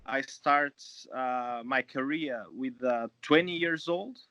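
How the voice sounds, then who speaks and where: A man in his late twenties with a Portuguese accent, speaking English as a second language in a halting, casual way over a video call. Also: mid-range voice, bright, forceful tone. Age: 20s. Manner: halting, many fillers and restarts. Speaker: guest actor. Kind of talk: casual interview. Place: small room at home, indoors. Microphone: video call webcam. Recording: dull video call audio, dry.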